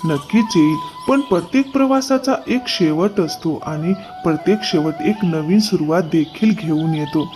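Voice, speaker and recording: A man playing an earnest, determined poor carriage driver and loving father in a cartoon moral story, speaking in a measured way. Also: on the high side, music in the background, natural voice. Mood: reassuring.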